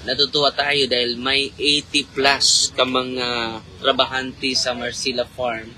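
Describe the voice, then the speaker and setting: Man, priest, casual live chat, laughs a lot, bright tense voice, faint road hum. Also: medium pitch, level tone, unhurried, low-quality recording. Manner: chatty, laughs often, switches between Tagalog and Cebuano. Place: inside a car in traffic at night. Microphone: phone held at arm's length.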